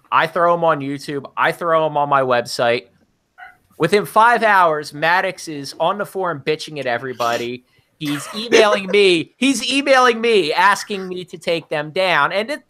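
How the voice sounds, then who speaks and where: High voiced guy cussing thru a long rant, bright tone. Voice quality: high-pitched for a man. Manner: profanity-laced ranting. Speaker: podcast guest. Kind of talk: profane casual storytelling.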